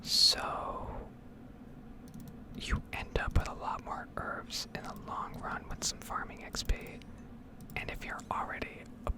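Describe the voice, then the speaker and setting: A woman speaks in a hushed, breathy whisper close to the microphone. She hosts a gaming ASMR video, rambling along without hurry and thinking aloud as she comments on play. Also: unhurried.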